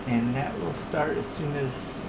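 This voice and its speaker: Higher-voiced man hosting stream, noisy background. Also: a little slow, vocal fry. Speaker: livestream host. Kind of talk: casual, unscripted stream chat.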